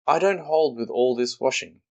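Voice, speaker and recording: A man voicing Eeyore, a gloomy old male donkey who grumbles and complains slowly. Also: mid-range voice, voice pushed up, natural voice. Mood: grumbling.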